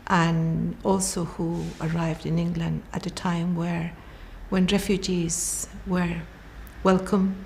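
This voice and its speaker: A woman's voice: on the low side, little pitch movement, slow, gravelly, few pauses, darkish tone, slight background noise. An older woman with a Chilean accent, a native Spanish speaker, telling her refugee story as an interviewee. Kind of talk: personal testimony.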